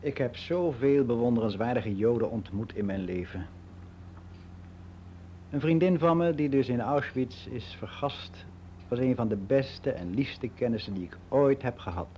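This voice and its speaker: A man's voice: mid-range voice, animated, a little slow, mellow, a little hiss or hum, natural voice. An actor playing a candid, reflective older man, confiding matter-of-factly in a conversational radio drama monologue. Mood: wistful. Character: candid, reflective ageing man, worldly and unbelieving.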